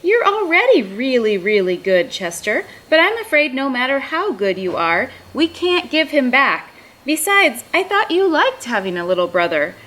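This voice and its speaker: A woman's voice: high voice, sing-song, normal speed, a bit bright, pitched above the performer's own voice, exaggerated voice, low-quality recording. Woman reading as a tender, motherly mother raccoon. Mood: tender, reasoning. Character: gentle, loving mother raccoon soothing her child. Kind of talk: children's storybook character voice.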